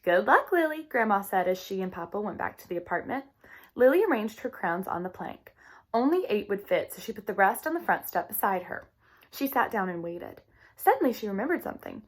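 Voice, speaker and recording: A woman reading a children's book aloud in an expressive storytime style. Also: medium pitch, a little fast. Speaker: storytime reader.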